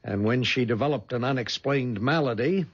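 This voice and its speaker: An actor playing a brisk, businesslike head of a detective agency in an American radio drama, with a husky voice and an American accent. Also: male voice, medium pitch. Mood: matter-of-fact recounting. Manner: businesslike, explanatory. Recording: dull lo-fi 1970s radio recording.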